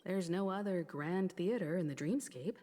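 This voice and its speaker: An actress playing a composed, observant young woman adventurer in a video game, measured and serious. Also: fairly low pitch, mellow, close to an everyday voice. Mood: wary, composed. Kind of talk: scripted video game voice acting. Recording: reverberant hall.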